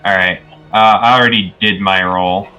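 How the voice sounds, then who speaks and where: Low, bright-toned young man, hesitant player. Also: fairly even intonation, normal speed, lo-fi sound. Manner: hesitant, describing his moves with many fillers. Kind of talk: tabletop game play. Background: faint background music.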